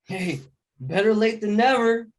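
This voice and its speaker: A man's voice: high voice, a little slow. Brief: actor as tipsy, boorish male pal, teasing and jokey, everyday delivery, video-call table read. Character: boorish, tipsy, joking male buddy with a beer. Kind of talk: short film table read.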